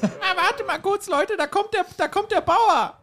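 A man voicing a whiny, lecherous loser who plays the victim in an improvised comedy audio play, with a squeaky falsetto. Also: a little slow, bright, forceful tone, exaggerated voice. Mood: pleading. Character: whiny, lecherous male loser named Dulli with victim mentality.

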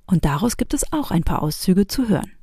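A middle-aged woman from the former East Germany, hosting a podcast with spoken introductions and relaxed interviewing. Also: fairly low pitch, brisk, slightly rough, no echo, studio quality. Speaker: podcast host.

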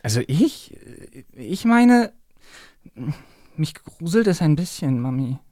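A man's voice: a little high, big pitch swings, takes it slowly, darkish tone, no echo, studio quality. A man voicing a frightened, whiny little child who clings to mummy in a children's radio play. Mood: scared, pleading. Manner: timid, whiny, hesitant.

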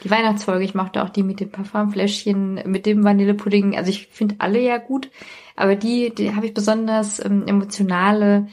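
A woman's voice: medium pitch, level tone, a little fast, darkish tone. A woman in casual podcast conversation, talking informally and personally. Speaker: podcast guest or co-host.

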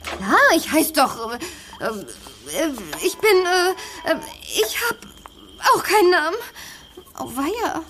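A little toy girl in a children's radio play. She is sweet but easily indignant, high-voiced and very animated, with halting, drawn-out phrases and little exclamations. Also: exaggerated voice. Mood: grateful, flustered. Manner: hesitant, exclaiming, expressive. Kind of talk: German children's audio drama acting.

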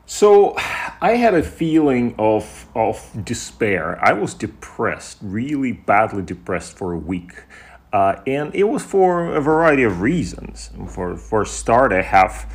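A man's voice: mid-range voice, very animated, a little slow. A man from Russia speaking as an expert interview guest, searching for words and often repeating them. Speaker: guest historian and political commentator.

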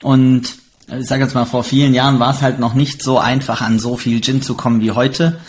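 A man's voice: medium pitch, level tone, a little fast, a little hiss or hum. A man in his late thirties chatting casually about his own experiences. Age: late 30s. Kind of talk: casual chat.

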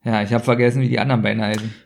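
A man's voice: on the low side, flat delivery, rapid-fire, gravelly. A man co-hosting a casual chat, bantering and asking questions. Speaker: podcast co-host.

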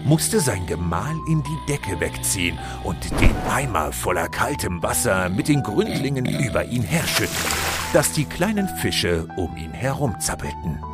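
A man narrating a scripted fairy-tale audio play as a solemn, expressive storyteller. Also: fairly low pitch, measured pace, loud music behind the voice. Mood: storytelling.

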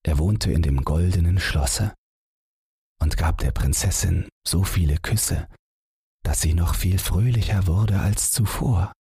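Deep, husky male storyteller. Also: unhurried, dark tone, dry recording, studio quality. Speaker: audiobook narrator. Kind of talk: scripted bedtime fairy-tale narration.

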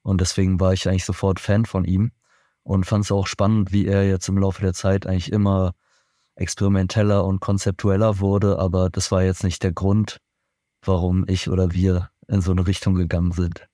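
Low, flat-voiced German man. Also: normal speed, no echo, clean studio sound. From Germany, Berlin. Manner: thoughtful, rambling with many fillers and restarts. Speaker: band member, musician guest. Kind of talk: casual interview answers. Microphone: remote podcast recording.

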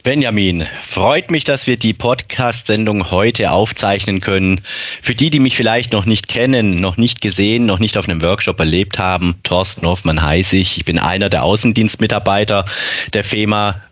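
A man from southern Germany with a light, softened Swabian accent, a podcast guest explaining legal points in a measured, lecturing way. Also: lowish voice, no room sound. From Upper Swabia, southern Germany. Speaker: guest, insurance broker trainer.

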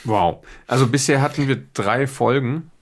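A man's voice: mid-range voice, normal speed, a little hiss or hum. A man hosting a podcast, explaining things casually and off the cuff in a rambling, self-deprecating way. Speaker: podcast host. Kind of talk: casual unscripted podcast introduction.

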